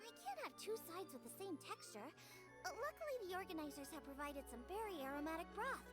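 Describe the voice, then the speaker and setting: A woman's voice: very high voice, sing-song, fast talker. A woman playing an eager, determined young girl chef in a video game, chirpy and earnest. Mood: focused, musing. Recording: game music behind the voice.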